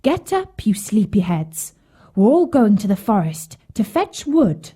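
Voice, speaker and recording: A hard-hearted storybook mother as a woman narrator plays her, bossy and exclamatory as she orders children about. Her voice is husky and dark, with measured pacing. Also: mid-range voice, sing-song, exaggerated voice.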